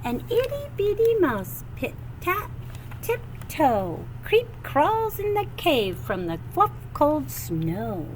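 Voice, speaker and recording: A woman reading a children's picture book aloud with animated, sing-song expression and drawn-out phrases. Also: high-pitched, a little hiss or hum, poor audio. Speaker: storybook reader.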